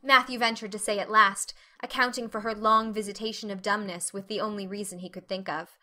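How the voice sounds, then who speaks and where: A woman reading as an audiobook narrator, giving expressive, carefully phrased literary narration in a measured manner. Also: medium pitch.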